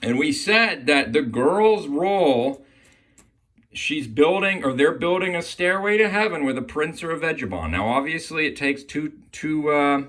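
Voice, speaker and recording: A man's voice: a little high, expressive, unhurried, a bit bright. A man giving an informal explanatory lesson as an amateur Bible teacher, often checking in with the listener. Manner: repeatedly checks in with "okay?".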